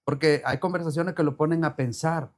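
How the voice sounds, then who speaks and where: A man's voice: fairly high pitch, steady tone, fairly quick. A man being interviewed as an entrepreneur, talking earnestly, repeating phrases and quoting the Bible. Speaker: guest entrepreneur. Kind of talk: casual chat with preacherly flourishes.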